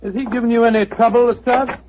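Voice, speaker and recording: An actor playing a bluff, exclamatory doctor companion in a 1940s radio drama. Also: male voice, very high voice, steady tone, fast. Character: bluff, excitable doctor companion, middle-aged man. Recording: muffled radio recording with hiss.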